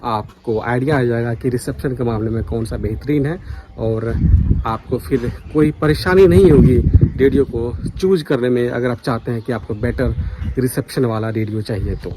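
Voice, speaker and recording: A man's voice: mid-range voice, normal speed, background noise. An Indian man speaking casually to his viewers as a radio hobbyist vlogger, earnest and insistent in how he makes his points. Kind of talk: casual opinion vlog addressed to viewers.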